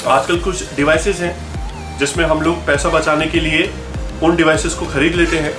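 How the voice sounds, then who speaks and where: A man's voice: fairly high pitch, flat delivery, normal speed, music in the background. An Indian man giving a casual product explainer as a video host, conversational and explanatory, checking in with questions and mixing in English phrases. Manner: mixes English words and phrases into speech. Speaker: video host and gear store seller.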